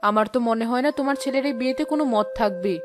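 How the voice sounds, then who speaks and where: A woman's voice: a little high, rapid-fire, lightly acted. A woman playing a snobbish, doting mother and village headman's wife in a cartoon, fussy and questioning. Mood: doubtful. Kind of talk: cartoon voice acting. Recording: loud background music.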